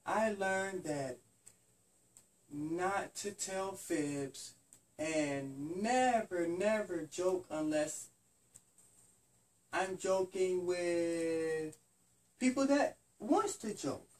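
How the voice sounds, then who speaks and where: A man's voice: high voice, expressive, extremely slow, pauses often, far from the mic, full character voice. A man voicing a sorry, earnest little bunny rabbit child in a bedtime story. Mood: repentant. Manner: childlike, repentant, repeats promises.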